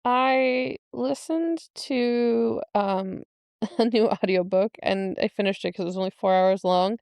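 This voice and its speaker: A woman's voice: mid-range voice, unhurried, creaky, a little mellow, clear, resonant tone, no room sound. A woman co-hosting a podcast, talking casually and conversationally. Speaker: podcast co-host. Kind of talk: casual chat.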